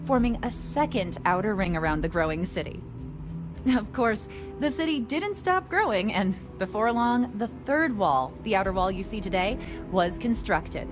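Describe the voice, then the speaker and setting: Casting: actress as proud local-history guide, mid-pitched, informative storyteller, game dialogue over clear music. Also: female voice, animated, normal speed, close to an everyday voice. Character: proud museum curator, adult woman, history guide. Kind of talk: video game character dialogue.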